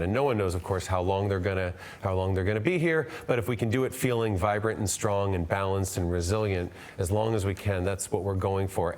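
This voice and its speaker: A man in his fifties on a video call, an upbeat guest explaining ideas and repeating words as he goes. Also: lowish voice. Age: 50s. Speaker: guest physician. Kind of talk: explaining to an interviewer.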